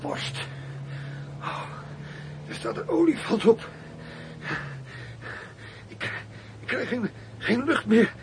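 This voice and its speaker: A man playing a weary, halting undercover policeman in his forties in a radio drama. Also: very high voice, animated, slight background noise, full character voice. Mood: gasping, in pain. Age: 40s.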